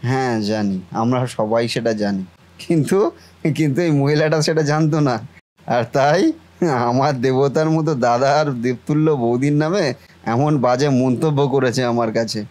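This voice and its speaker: A man's voice: medium pitch, normal speed, darkish tone, natural voice. A man voicing a devoted, indignant brother in a cartoon family melodrama, speaking earnestly and declaiming.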